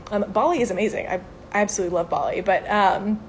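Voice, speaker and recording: A woman's voice: mid-range voice, fast talker, slight background noise, poor audio. A woman in her twenties, from Venezuela, chatting on a live stream and answering viewer questions in an upbeat, filler-filled way, filmed on a phone held at arm's length outdoors by a brick wall. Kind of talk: casual live chat. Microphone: phone camera at arm's length.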